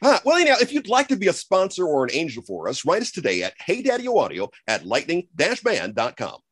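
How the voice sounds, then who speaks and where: A man's voice: a little high, wildly swooping pitch, quick pace, fairly bright tone, slightly rough, no echo, clean studio sound. A man presenting a show's sponsor appeal in playful scripted banter.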